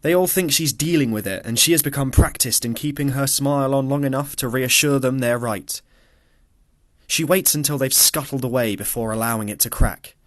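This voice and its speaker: A man with a medium-pitched voice narrates literary fiction for an audiobook. His reading is expressive, and he shifts his voice to act out lines of dialogue. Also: moderate pace.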